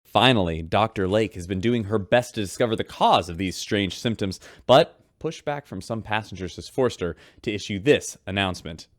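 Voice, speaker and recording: An actor playing a polished radio newscaster aboard a spaceship, hosting the news in a sci-fi radio drama. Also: male voice, lowish voice, moderate pace, dry recording, clean studio sound, lightly acted. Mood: grave reporting. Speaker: radio host.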